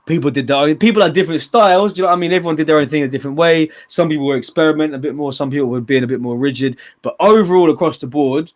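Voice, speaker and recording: A young man in a loose, sweary chat on a livestream. His voice sits a little high for a man, and he gets animated and laughs easily as he talks. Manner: animated, sweary, laughs often, quick restarts. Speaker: host of a battle rap stream.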